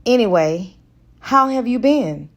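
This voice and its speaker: A woman's voice: a little high, expressive, slow, pitched above the performer's own voice. A woman narrator voicing a smitten, conciliatory man courting someone in an audiobook romance. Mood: sincere.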